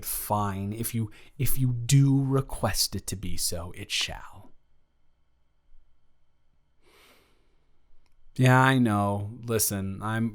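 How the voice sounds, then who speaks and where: A man playing a smitten, teasing young man in an improvised romantic audio roleplay, speaking in a bantering, halting way. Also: fairly low pitch, close to an everyday voice. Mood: fond, resigned. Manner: bantering, halting, with stammers and breathy laughs. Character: smitten, teasing young man confessing love to his best friend.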